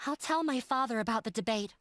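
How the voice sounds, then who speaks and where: A woman's voice: fairly high pitch, quick pace, noisy background, lightly acted. A woman playing a resolute young woman in an anime video game, with an earnest, determined delivery. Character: resolute young woman defying her stern father.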